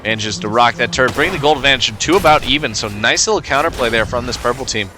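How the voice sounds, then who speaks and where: A man with a cold, sounding stuffed up, giving relaxed play-by-play commentary over a video game match with frequent fillers. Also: mid-range voice, quick pace, over music. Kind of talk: casual gameplay commentary.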